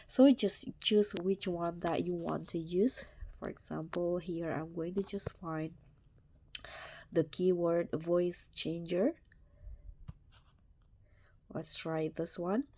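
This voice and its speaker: A woman narrating a casual step-by-step tech tutorial, with a somewhat halting, hesitant way of phrasing. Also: on the low side, mellow, dry recording. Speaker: tutorial host.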